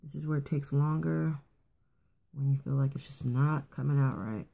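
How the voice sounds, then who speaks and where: A woman with a raspy morning voice casually talking through a quick makeup routine, rambling a bit with fillers and restarts. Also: very deep voice, steady tone, mellow. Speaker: beauty vlogger. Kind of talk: casual makeup tutorial chat. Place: at home getting ready for work.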